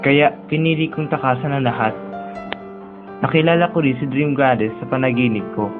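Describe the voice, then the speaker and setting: A man playing a gentle, lonely young dreamer in a radio drama, speaking in a confiding way. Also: mid-range voice, normal speed, close to an everyday voice. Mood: wistful, confiding. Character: gentle, lonely young dreamer, male, escaping troubled home. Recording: muffled phone-like sound with music behind.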